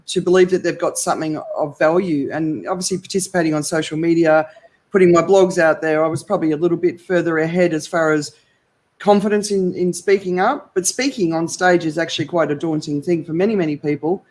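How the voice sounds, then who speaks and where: A woman's voice: fairly low pitch, fairly even intonation, a little fast, a little mellow. A middle-aged Australian woman talks through her career as an interview guest. She rambles a little as she goes, and her enthusiasm for the work comes through. Her delivery is conversational and unscripted.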